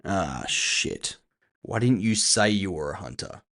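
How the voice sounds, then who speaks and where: A man playing a gruff, impatient cyberpunk bounty hunter, terse and sardonic, in an audio drama. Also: low-pitched, lively intonation, unhurried, fairly bright tone. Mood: irritated.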